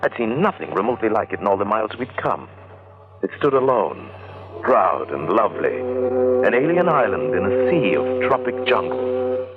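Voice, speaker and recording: An actor playing an adventurer who recounts a weird tale in literary, descriptive 1940s radio drama style. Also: male voice, lowish voice, very animated, moderate pace. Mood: awed recollection. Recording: tinny old radio recording, loud music.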